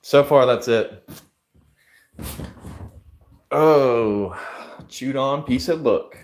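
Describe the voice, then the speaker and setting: A man's voice: mid-range voice, extremely slow. A man livestreaming and answering chat in a loose, rambling way, full of fillers. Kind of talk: casual chat.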